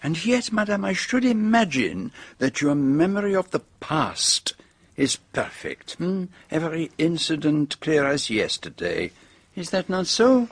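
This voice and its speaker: Radio drama lead: courteous French-accented sleuth, highish husky voice, precise, slyly probing. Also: male voice, very animated, unhurried, heavily acted. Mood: courteous, knowing. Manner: formal, precise, gently probing. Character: courteous, shrewd foreign male detective, fussy and sly.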